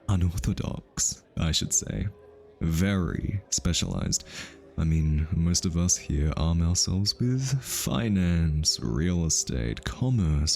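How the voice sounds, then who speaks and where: Man acting a deep-voiced, pretentious, flustered hitman. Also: vocal fry, a little mellow, exaggerated voice. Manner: arch, teasing, theatrically polite with halting hesitations. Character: flustered, pretentious hitman undercover as a smug society consultant. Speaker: voice actor. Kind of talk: ASMR roleplay acting.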